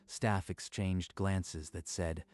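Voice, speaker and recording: A man narrating a scripted story in a measured, even manner. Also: deep voice.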